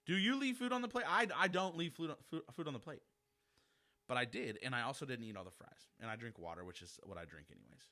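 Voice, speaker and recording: Podcast host, forties, man, bright forceful voice, chatty and self-deprecating. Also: medium pitch, quick pace. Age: 40s. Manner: chatty, animated, self-deprecating, addresses listeners directly. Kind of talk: casual solo podcast monologue.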